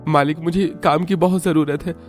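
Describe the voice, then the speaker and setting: Poor young cartoon worker played by a man, earnest, worried, fairly high voice, music behind. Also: fairly even intonation, normal speed, lightly acted. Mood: pleading. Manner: pleading, earnest. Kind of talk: cartoon story dialogue.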